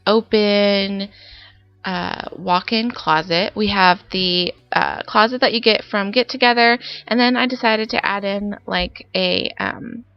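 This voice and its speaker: A woman's voice: medium pitch, fairly even intonation, a little slow, clear, resonant tone. A young woman, congested from a summer cold, chatting casually through a voice-over of her game build with lots of fillers. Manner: chatty, rambling with many fillers. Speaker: Sims building YouTuber. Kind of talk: casual voice-over narration of gameplay. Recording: dull lo-fi voice-over with faint background music.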